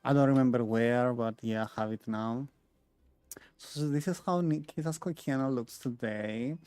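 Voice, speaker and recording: A man's voice: mid-range voice, moderate pace, darkish tone, clear, resonant tone, no echo. A man giving casual gaming commentary as a streamer, chatty and thinking aloud as he plays. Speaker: game streamer.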